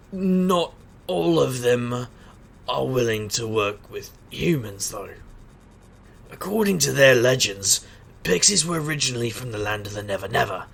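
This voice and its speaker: An actor playing a wry, stubborn street magician detective in an audio drama, with a gravelly, sardonic delivery. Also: male voice, mid-range voice, fairly bright tone, heavily acted. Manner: sardonic, wisecracking. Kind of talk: audio drama acting and noir-like narration.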